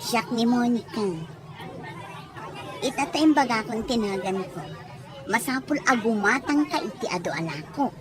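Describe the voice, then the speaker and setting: A woman playing a sharp-tongued, exasperated wife and mother in an Ilocano radio drama, speaking with an Ilocano accent. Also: fairly high pitch, animated, measured pace, pauses often, crowd noise, noisy background. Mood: chatty, coy.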